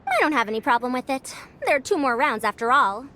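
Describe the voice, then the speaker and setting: A woman's voice: sits high, very animated, fast, bright, forceful tone, a little hiss or hum, exaggerated voice. A woman voicing a proud, self-assured senior scholar in a video game, formal and magnanimous. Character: proud female senior scholar, youthful-sounding, brisk, magnanimous madam.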